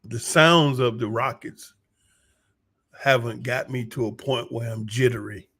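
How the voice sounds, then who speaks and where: An American man with a hoarse voice, talking casually to his viewers in a halting, unhurried, folksy way. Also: mid-range voice, fairly even intonation, bright, forceful tone. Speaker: vlogger giving a personal update. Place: small room at home, a bomb shelter used as studio.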